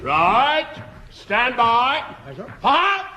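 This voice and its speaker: Sitcom sergeant major: bossy, barking, very high and lively, noisy recording. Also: male voice, extremely slow, full character voice. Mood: brisk, commanding. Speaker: actor in a comedy. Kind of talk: British sitcom acting. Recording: noisy old comedy broadcast.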